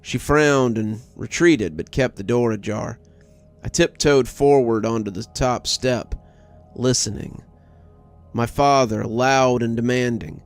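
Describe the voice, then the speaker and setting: A man's voice: medium pitch, takes it slowly. A man reading a scripted first-person horror story as its narrator. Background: music.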